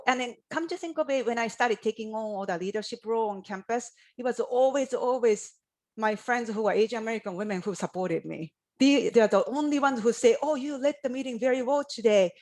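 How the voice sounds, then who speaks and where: Earnest woman on a video call. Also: medium pitch. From Japan. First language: Japanese. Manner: earnest, reflective, speaks at length. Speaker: college faculty panelist. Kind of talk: panel discussion.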